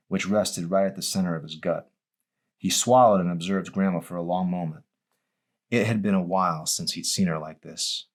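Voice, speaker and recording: Deep-voiced man narrating a novel dramatically. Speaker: author reading own novel.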